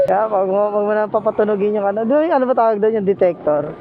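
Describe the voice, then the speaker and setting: A man's voice: high voice, big pitch swings, a little fast, narrow, tinny audio. Playful Filipino man bantering over street noise. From Philippines. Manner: playful, teasing, joking. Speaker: motorcycle taxi rider and vlogger. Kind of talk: casual bantering chat with a passenger. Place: outdoors on a motorcycle in traffic. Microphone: helmet intercom. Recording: noisy outdoor motorcycle ride, helmet intercom. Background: vehicle and street noise.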